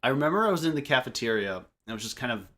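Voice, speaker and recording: A young man chatting casually on a group podcast, telling stories in a halting, rambling way and often repeating his phrases. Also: mid-range voice, sing-song, pushed and bright. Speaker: podcast member. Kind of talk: casual group chat storytelling.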